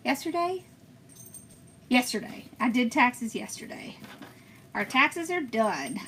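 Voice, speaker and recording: Bright-voiced chatty woman crafting live. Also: on the high side, very animated, takes it slowly, a little hiss or hum. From born in Pennsylvania, raised in Georgia. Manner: chatty, answers viewer comments, laughs often. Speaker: host of a craft livestream. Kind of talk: casual live-stream chat while crafting.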